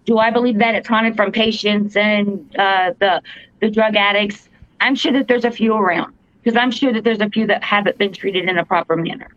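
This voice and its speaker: A woman with a fairly deep voice, earnestly telling a story as a podcast co-host, halting with fillers and restarts. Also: steady tone, a bit bright, no room sound. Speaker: podcast co-host, paranormal investigator. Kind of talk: casual storytelling. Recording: dull lo-fi podcast audio, dry.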